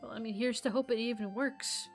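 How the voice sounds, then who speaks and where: Woman, native Arabic speaker, casual rant, rambling and exasperated, somewhat high voice. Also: fairly even intonation, a little fast, soft background music. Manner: rambling, exasperated, keeps breaking off mid-sentence. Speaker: gaming vlogger.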